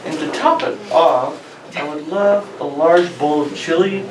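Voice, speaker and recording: A man's voice: fairly high pitch, a little slow, natural voice. A man playing an easygoing, cheeky young spaceship crewman at an informal sci-fi table read. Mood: eager. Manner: cheeky, laid-back. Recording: echoey room, distant mic, clicking noise.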